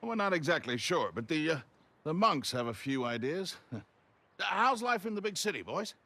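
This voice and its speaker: An actor playing a genial older doctor and mentor in a video game, jovial and chatty. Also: male voice, on the high side, sing-song, moderate pace, pushed and bright, heavily acted.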